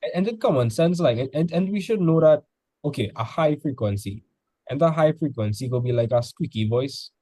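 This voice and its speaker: Mellow-voiced male teacher quizzing students. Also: fairly high pitch, animated, brisk, breathy edge, no echo. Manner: loose, filler-heavy, quizzes students. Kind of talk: casual tutoring session.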